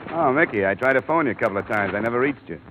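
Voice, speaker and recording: An actor playing a hard-boiled insurance investigator in a 1950s radio detective drama. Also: male voice, mid-range voice, animated, very fast, fairly bright tone, slight background noise, natural voice. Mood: friendly, concerned. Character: hard-boiled male freelance insurance investigator, easygoing with friends. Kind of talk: 1950s radio detective drama acting, first-person narration. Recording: muffled, band-limited vintage radio recording with music.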